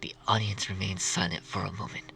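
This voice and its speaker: A man's voice: low-pitched, steady tone, brisk, vocal fry, pushed and bright. A man reading a story aloud as narrator, in a scripted audiobook style. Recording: music under the reading.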